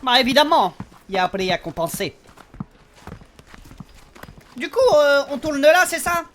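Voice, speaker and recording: An uneasy, grumbling young adventurer, acted by a man, in a comic fantasy audio drama. His bright voice jumps up and down as he hesitates and gets exasperated. There is slight noise behind it. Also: very high voice, sing-song, moderate pace, full character voice.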